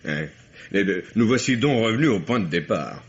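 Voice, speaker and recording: Low, husky, flippant dandy. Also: male voice, animated, moderate pace. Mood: wry. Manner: ironic, affectedly polite. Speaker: radio drama actor. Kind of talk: vintage French radio mystery acting. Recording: dull, lo-fi old radio recording.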